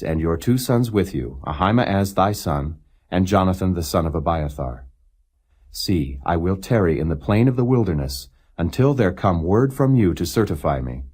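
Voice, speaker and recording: A man with a low, husky voice narrates scripture in a solemn, measured way. His pitch moves up and down freely through the phrases, while the reading stays plain and non-dramatized. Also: a little mellow. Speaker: Bible reader.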